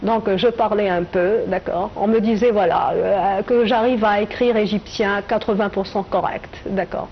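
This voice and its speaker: A middle-aged woman from Tunisia speaks as an interview guest, thinking aloud and pausing often as she searches for her words. The broadcast sound is slightly muffled, with a faint hiss underneath. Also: medium pitch, steady tone. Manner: hesitant, reflective, many filler pauses. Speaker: writer and translator guest. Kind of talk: TV interview answers.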